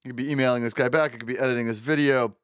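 A man's voice: mid-range voice, fairly even intonation, racing, a bit bright, clear, resonant tone, dry recording, clean studio sound. A young man co-hosting a podcast, chatting easily with a wry, laughing humor. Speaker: podcast co-host. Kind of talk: casual chat.

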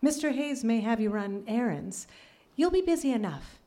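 A woman playing a pragmatic, wry, no-nonsense office manager in a live radio drama, deadpan and instructive. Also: fairly high pitch, normal speed, close to an everyday voice. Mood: brisk instructing. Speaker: actor.

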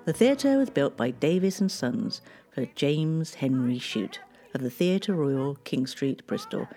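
A woman reads a local history script, taking dates and figures at a measured pace. Her voice sits low and sounds mellow. Faint music plays underneath. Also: expressive.

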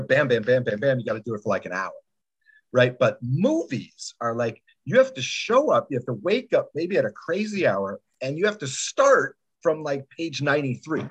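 Man, slightly husky voice, lively swooping pitch, emphatic rambling storyteller, casual interview chat. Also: low-quality recording. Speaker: podcast producer and sound editor.

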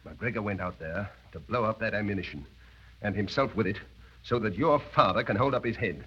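An actor playing a brash, fervent young British army lieutenant in a 1930s radio drama, speaking emphatically. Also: male voice, on the low side, husky, full character voice. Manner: forceful, emphatic. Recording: dull scratchy 1930s radio broadcast.

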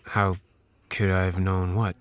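A man acting a guarded, brooding character in his late twenties in a radio drama, measured and hesitant, with a suspicious edge. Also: low voice, little pitch movement, soft background music. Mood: stunned. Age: late 20s.

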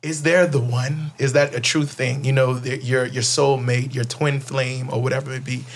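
A man's voice: mid-range voice, flat delivery, normal speed. Laid-back man hosting a chat. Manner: loose slangy talk, fills with "you know what I'm saying". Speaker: podcast host. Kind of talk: casual interview chat.

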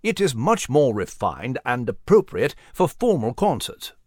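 A fussy, learned mouse from a children's tale, played by a man. He speaks in animated swoops with a pompous, formal manner, recorded close and dry. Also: medium pitch, unhurried, no echo. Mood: eager, lecturing. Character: pompous, scholarly British gentleman mouse, fussy and excitable. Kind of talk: animated children's storybook character reading.

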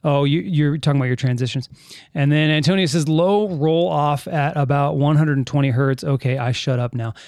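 A man chats loosely on his live podcast, circling back and correcting himself mid-sentence. His voice sits a little high for a man. The studio mic is close and dry, with no room sound. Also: a little fast, darkish tone, dry recording. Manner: rambling, self-correcting, reads chat comments aloud. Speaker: podcast host. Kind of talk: casual live podcast chat. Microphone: studio mic with headphones.

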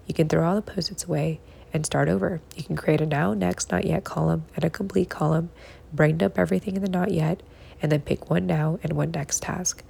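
A woman hosting a solo podcast from home, giving encouraging, conversational tips in a hushed, early-morning voice. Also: low voice, vocal fry, husky. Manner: encouraging, conversational, repeats phrases for emphasis. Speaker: podcast host. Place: living room at home.

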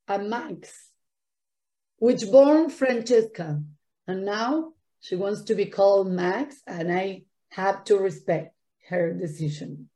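Argentine woman, spanish first language, talks real slow and earnest in an interview. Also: mid-range voice, warm tone. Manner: slow, earnest, non-native phrasing. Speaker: guest. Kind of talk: podcast interview.

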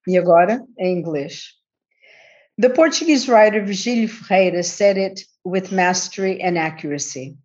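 A middle-aged woman from the Azores, raised in California, reading a prepared conference paper in a measured, reflective way. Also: medium pitch, lively intonation, darkish tone. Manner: measured, reflective, bilingual delivery. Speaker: conference panel speaker. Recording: dull lo-fi audio.

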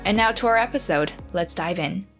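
A woman's voice: medium pitch, animated, a little fast. Woman podcast host, enthusiastic, music bed behind. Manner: enthusiastic, upbeat delivery. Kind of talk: scripted intro and interview questions.

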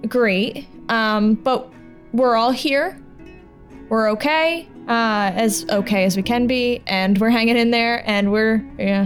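A woman hosting a podcast, talking in a chatty, informal and reassuring way. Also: fairly high pitch. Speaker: podcast host and audio drama producer. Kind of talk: casual podcast talk over music. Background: plucked guitar music.

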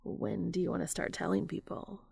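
Woman as earnest gentle male lover, fan fiction romance, measured, soft-spoken, gravelly, dry recording. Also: lowish voice, expressive, no room sound, heavily acted. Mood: knowing, tender.

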